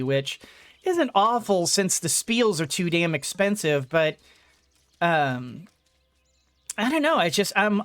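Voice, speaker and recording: A woman gently thinking aloud as she plays a farming game for her channel, her throat a little gunky today. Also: lowish voice. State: slightly congested throat. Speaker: gaming YouTuber. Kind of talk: let's-play commentary.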